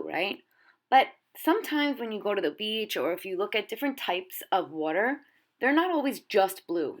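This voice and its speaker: A woman art teacher giving an encouraging, step-by-step demonstration for young children. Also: medium pitch. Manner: encouraging, explanatory, addresses children directly.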